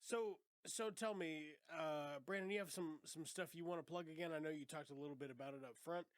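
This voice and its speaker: A man's voice: fairly high pitch, brisk, no echo. A young man hosting a podcast in loose, profane casual chat, sounding congested. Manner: loose, profane, laughs often. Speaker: podcast host.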